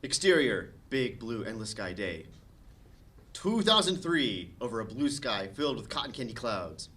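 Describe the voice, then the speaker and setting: A man with a mid-pitched voice serves as narrator for a table read. He reads scene headings and story narration aloud from a script. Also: very animated, normal speed, fairly bright tone, faint music behind. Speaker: narrator reading stage directions.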